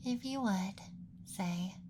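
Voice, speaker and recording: A man voicing a playful young alien abductor in a whispered ASMR roleplay, speaking softly in a teasing, clinical manner. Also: sits high, slow, background noise.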